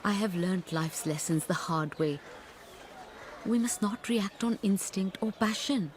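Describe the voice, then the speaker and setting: An actress plays a world-weary, self-sacrificing woman in a radio play. Her mid-pitched voice pleads earnestly in measured, formal phrases, steadfast beneath the loneliness. Slight noise sits behind her. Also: fairly even intonation, close to an everyday voice. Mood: resolute, weary. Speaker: radio drama actor. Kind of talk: radio drama acting.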